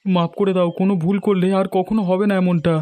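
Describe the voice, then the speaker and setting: A man's voice: sits high, flat delivery, fast talker, mellow, natural voice. A man playing a meek, poor villager in a cartoon story, speaking in a submissive, pleading manner. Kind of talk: Bengali cartoon story acting.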